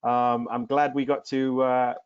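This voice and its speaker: A man's voice: medium pitch, little pitch movement, a little slow, clear, resonant tone, no room sound. A man whose first language is Greek, hosting an online webinar and praising his guests effusively. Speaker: webinar host. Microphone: video call. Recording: dry, muffled video call audio.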